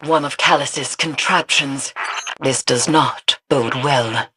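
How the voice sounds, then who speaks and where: A cryptic occult scholar in a game, low-pitched and deliberate. She is grave, ominous and formal, with faint noise behind her. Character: solemn, cryptic female occult expert and field agent. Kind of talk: dramatic video game dialogue.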